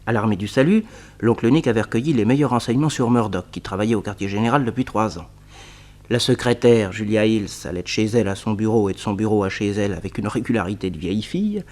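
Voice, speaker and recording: A man narrating a detective radio play, telling the story in a lively, colloquial way. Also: lowish voice, fast talker.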